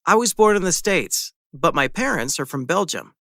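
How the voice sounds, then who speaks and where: A man's voice: a little high, expressive, moderate pace, pushed and bright, no echo, clean studio sound. A man playing a friendly young male architecture student in a scripted practice conversation, easygoing and asking friendly questions. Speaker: student in a dialogue.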